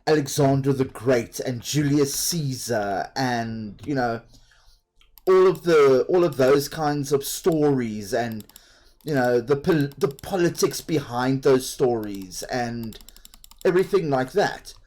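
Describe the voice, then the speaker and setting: A man's voice: medium pitch, animated, slow, a little mellow. A man from South Africa with a South African accent, chatting in a rambling way while streaming a game and laughing easily. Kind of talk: casual chat while playing a game.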